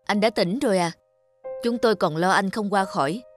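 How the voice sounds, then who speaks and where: A woman voicing a caring middle-aged male doctor, earnest and reassuring, in an animated fairy tale. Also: medium pitch, moderate pace, over loud music, lightly acted. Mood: concerned, reassuring.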